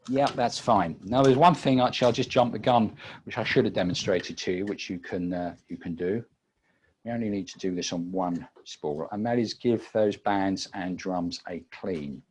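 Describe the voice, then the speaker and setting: A British man giving an unscripted technical demonstration as a webinar presenter, halting often with repeated words and filled pauses. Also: sits low, a little hiss or hum. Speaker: webinar presenter and audio engineer.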